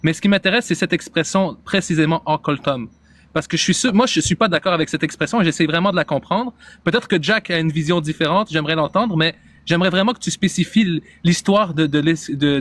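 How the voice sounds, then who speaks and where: A man's voice: a little high, fairly quick, a bit bright. A man moderating a live-stream debate, polite and inquisitive, asking for clarification.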